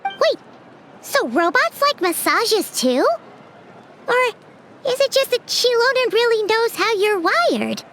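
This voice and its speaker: Bubbly tiny companion; very high, lively, over game score. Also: female voice, takes it slowly, pauses often, exaggerated voice. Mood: chirpy. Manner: chirpy, refers to herself in third person. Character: small floating girlish companion, bubbly and greedy. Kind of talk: game cutscene dialogue. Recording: game audio with music behind.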